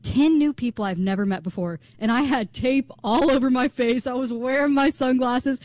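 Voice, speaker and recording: Mellow-voiced woman, wry storyteller. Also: high-pitched, normal speed, dry recording, clean studio sound. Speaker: radio show co-host. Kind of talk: morning radio banter.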